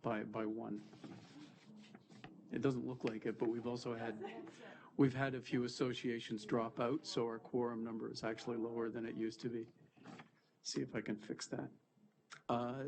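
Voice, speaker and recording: A man's voice: mid-range voice, a little mellow, slight background noise, low-quality recording. A man with a cold, sounding a little stuffy, chairing a formal committee meeting and moving through agenda items. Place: board meeting room.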